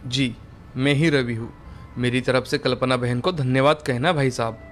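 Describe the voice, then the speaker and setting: A man's voice: medium pitch, fairly even intonation, moderate pace, pitched below the performer's own voice, close to an everyday voice. A man as humble poor village father, faint music. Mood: grateful. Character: poor, worried, grateful village father and brother.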